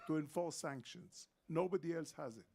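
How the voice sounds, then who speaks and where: A man's voice: a little high, normal speed, mellow. An older man, speaking emphatically and repeating his points as a panel guest. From Israel. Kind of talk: panel discussion.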